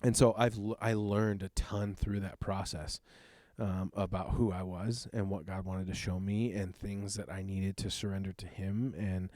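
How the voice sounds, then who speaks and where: A man in his thirties, a youth pastor, shares his personal testimony in an earnest, reflective, halting way. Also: deep voice, level tone, mellow, no room sound, clean studio sound. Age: 30s.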